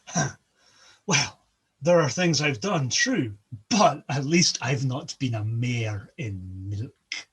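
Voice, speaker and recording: A man doing an old chief god calming a quarrel. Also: medium pitch, slow, breathy edge. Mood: placating. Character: aging male chief god, placating.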